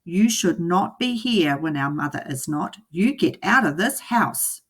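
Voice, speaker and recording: A woman's voice: fairly low pitch, lively intonation, a little slow, breathy edge. A woman voicing a fussy, indignant male pet fish from a storybook, scolding and bossy in a stern, emphatic way.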